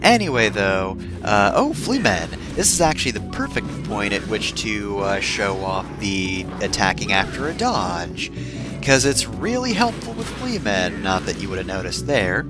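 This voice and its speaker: A man's voice: fairly low pitch, big pitch swings, a little slow, music in the background. A man giving casual, rambling video game commentary as a let's-play host, with wry, sarcastic asides and frequent digressions.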